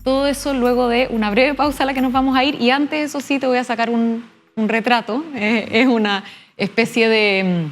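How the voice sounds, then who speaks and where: A woman's voice: fairly high pitch, fairly even intonation, moderate pace, faint music behind. A woman with a Chilean accent, speaking conversationally as the host of a television interview.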